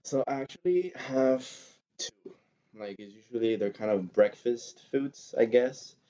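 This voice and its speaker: A man's voice: medium pitch, measured pace, mellow. A young man from Venezuela chatting as a podcast guest, rambling and hesitant. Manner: rambling, many fillers and restarts. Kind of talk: casual chat. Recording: dull lo-fi recording.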